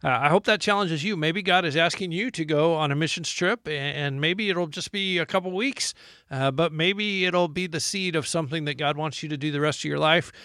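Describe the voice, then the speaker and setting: A man's voice: on the high side, brisk, bright, forceful tone, no room sound, studio quality. A man with an American accent hosting a conversational radio interview in an earnest, encouraging way. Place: radio studio.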